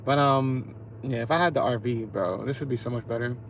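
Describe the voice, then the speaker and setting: A man livestreaming from inside his car, talking to his chat in a laid-back way with mumbled asides that turn hyped now and then. His voice has a gravelly edge at mid pitch, and faint road noise hums underneath. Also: brisk, poor audio. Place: in a car at a drive-through.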